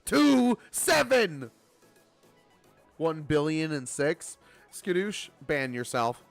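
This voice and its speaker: A man's voice: on the high side, big pitch swings, slow pace, creaky, soft background music. A man of twenty-seven chatting casually on a game livestream, bantering playfully and swearing freely. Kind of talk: casual livestream chat while gaming.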